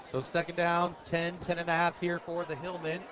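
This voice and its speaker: A man's voice: fairly high pitch, completely flat delivery, moderate pace, dull audio. An American man calling a football game live on radio as play-by-play announcer, in short, clipped, vivid phrases. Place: outdoors at a football stadium. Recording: noisy outdoor stadium broadcast.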